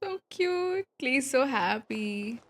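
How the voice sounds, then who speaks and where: A woman's voice: sits high, animated, takes it slowly, fairly bright tone, slight background noise. A playful, slangy woman giving casual reaction commentary as she streams a game, with music underneath.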